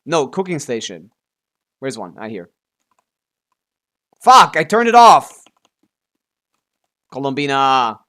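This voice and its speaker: A man's voice: medium pitch, very animated, takes it slowly, pauses often, pushed and bright. A man chatting casually on a gaming livestream as the streamer, often muttering to himself and swearing offhandedly. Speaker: live streamer.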